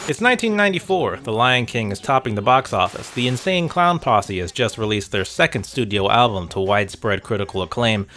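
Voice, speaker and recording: A man's voice: lowish voice, lively intonation, brisk, few pauses, fairly bright tone. A man narrating a scripted video essay in a dry, wry manner. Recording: music bed behind voice. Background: background music with guitar.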